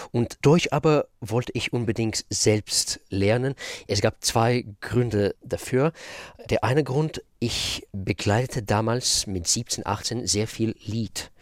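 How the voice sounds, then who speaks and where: A man's voice: lowish voice, slow pace, no room sound, studio quality. A man from Russia, a pianist answering questions in a radio interview, thoughtful and searching for words. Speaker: guest pianist.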